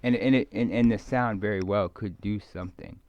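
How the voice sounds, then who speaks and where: A middle-aged man giving a rambling, musing ASMR talk, halting and repeating himself as his thoughts meander and trail off. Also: fairly low pitch, darkish tone, soft background music. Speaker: ASMR video creator. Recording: muffled lo-fi close microphone, dry.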